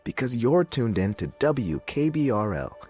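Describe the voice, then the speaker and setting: An actor playing a serene but eerily cheerful radio DJ with a deliberate, reassuring announcer delivery. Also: mid-range voice, very animated, darkish tone, soft background music, lightly acted. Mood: uncanny calm. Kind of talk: scripted fictional radio station patter.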